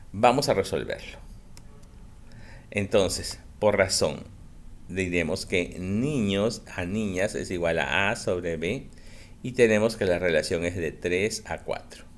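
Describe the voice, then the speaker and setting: A male school teacher giving a recorded math lesson for children, explaining slowly and patiently and answering his own questions. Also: low-pitched.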